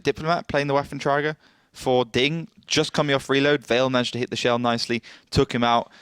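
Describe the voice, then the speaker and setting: Male co-caster, measured analyst, close dry mic. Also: medium pitch, a bit bright, dry recording. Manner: measured, analytical, frequent fillers. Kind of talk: esports match commentary and analysis.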